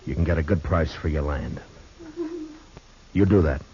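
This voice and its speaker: Casting: American frontier lawman, extremely deep voice, grave, firm but kind, muffled vintage radio sound. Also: warm tone, slight background noise. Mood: gently urging. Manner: sober, halting, plain-spoken. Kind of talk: 1950s radio western acting and narration. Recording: muffled 1950s radio recording.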